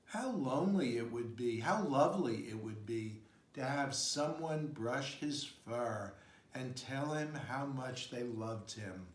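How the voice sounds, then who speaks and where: A man reads a children's story aloud in an expressive way. His voice sits at a middle pitch and he takes his time over the phrases. The room around him echoes noticeably. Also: slow. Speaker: storybook reader. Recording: roomy, echoing sound.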